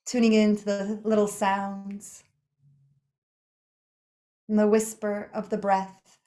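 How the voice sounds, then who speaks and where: A woman leading a guided meditation in a soothing, unhurried manner, speaking in short phrases with pauses between them. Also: mid-range voice, monotone, mellow. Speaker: yoga nidra meditation guide.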